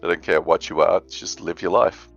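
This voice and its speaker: A man chatting casually on a live stream, calmly explaining things in plain terms. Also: low-pitched, moderate pace, vocal fry, highs cut off, faint music behind. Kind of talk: casual chat.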